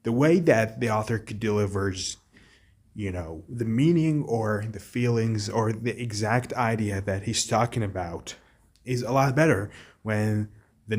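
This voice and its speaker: Arabic-speaking guy thinking out loud, husky kinda voice, stops and restarts a lot. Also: fairly low pitch. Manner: thoughtful, halting, with many restarts and fillers. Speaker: vlogger. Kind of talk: casual monologue.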